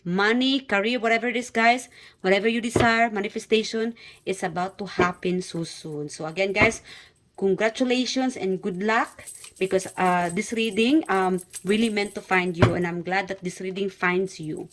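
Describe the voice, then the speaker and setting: A woman with a Filipino accent hosts a tarot reading, talking straight to her audience. Her voice sits at an everyday middle pitch. She speaks haltingly, with frequent fillers and repeated phrases. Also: steady tone, fairly bright tone, low-quality recording. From Philippines. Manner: hesitant, many fillers and repeats, speaks directly to viewers. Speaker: tarot reader. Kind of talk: casual tarot reading to camera.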